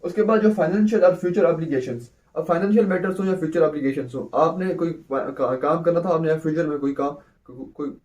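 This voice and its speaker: A man giving a casual law lecture, explaining slowly, restarting phrases and asking rhetorical questions. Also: a little high, dark tone, highs cut off. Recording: voice a little far from the mic.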